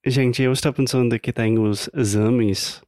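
A man's voice: mid-range voice, steady tone, darkish tone, dry recording, studio quality. A man co-hosting a casual language podcast, speaking careful, slightly hesitant Portuguese as a non-native speaker. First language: not Portuguese. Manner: slow, careful learner's Portuguese. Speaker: podcast co-host.

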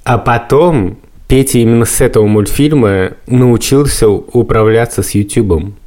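A man's voice: mid-range voice, normal speed, mellow. A man hosting a podcast, leading a casual conversation and telling anecdotes. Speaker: podcast host leading the discussion.

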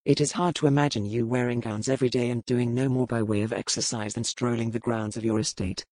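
A woman voicing a stern male pirate captain who speaks in measured, formal sentences. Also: very deep voice, fairly even intonation, darkish tone, no echo, voice pushed down. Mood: grave. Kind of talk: audiobook character voice.

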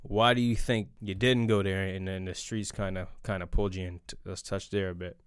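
Young male host, low, clean tone, casual candid storytelling, dry close sound. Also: a little fast, clean tone, dry recording. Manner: relaxed, candid, frequent fillers and restarts. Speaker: podcast host. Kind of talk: casual interview conversation.

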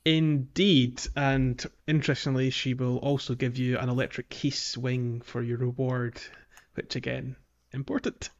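A man's voice: medium pitch, unhurried, few pauses. Chatty man on a muffled podcast mic. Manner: chatty, relaxed, many ums and laughs. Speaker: podcast co-host. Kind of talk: casual gaming podcast chat.

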